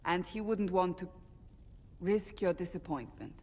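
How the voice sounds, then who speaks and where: A principled warrior woman speaks in a somewhat low voice with a firm, matter-of-fact formality. She is blunt and stern, in game-drama style, and the sound carries a long reverb. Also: measured pace, a little mellow. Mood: grave, persuasive.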